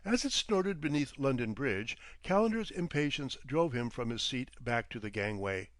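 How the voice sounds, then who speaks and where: A man reading vintage detective fiction aloud as an audiobook narrator, in a measured, literary manner. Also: mid-range voice, expressive, a bit bright, no echo.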